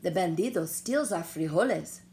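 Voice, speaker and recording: A woman voicing an excitable band of storybook chihuahuas, exclamatory and theatrical with playful Spanish flourishes. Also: fairly low pitch, normal speed, slight background noise. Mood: indignant.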